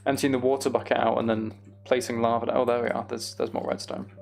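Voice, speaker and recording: A man's voice: mid-range voice, level tone, fast talker, gravelly, darkish tone, a little hiss or hum. A man giving casual, self-deprecating gameplay commentary, musing aloud as he goes. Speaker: gaming video host.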